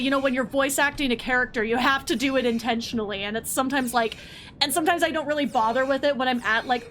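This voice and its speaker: A woman's voice: high voice, fairly bright tone, slight background noise. A woman streamer chatting casually, rambling, with lots of fillers and restarts. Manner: rambling, filler-heavy, self-correcting. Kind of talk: casual livestream chat.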